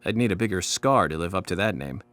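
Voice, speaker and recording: An eccentric FBI agent in a video game. The voice is deep and composed. He speaks deliberately, with a dry formality. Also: animated, a bit bright. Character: cool, eccentric male FBI special agent. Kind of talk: video game cutscene acting.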